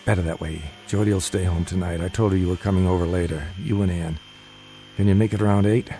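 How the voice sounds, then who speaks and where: An actor in a radio drama playing a calm, careful married father who is secretly plotting, with a guarded manner. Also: sits low, fast, a little hiss or hum. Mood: guarded, secretive.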